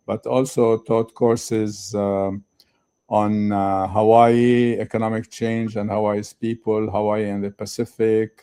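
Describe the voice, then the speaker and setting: An older man from Lebanon, a retired professor speaking as a conference guest, talking slowly with many halting fillers. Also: fairly low pitch, mellow. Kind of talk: conference interview.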